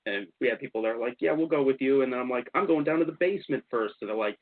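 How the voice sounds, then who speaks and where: A man's voice: mid-range voice, racing, background noise. A man hosting a radio show, telling stories casually and animatedly, acting out what people said. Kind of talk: casual storytelling about investigations. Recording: slightly dull, lo-fi radio sound.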